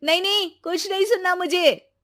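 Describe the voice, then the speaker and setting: Woman voicing a breezy high-pitched young bride-to-be. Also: moderate pace, a bit bright, no room sound, clean studio sound. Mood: excited. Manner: chatty, eager, insistent.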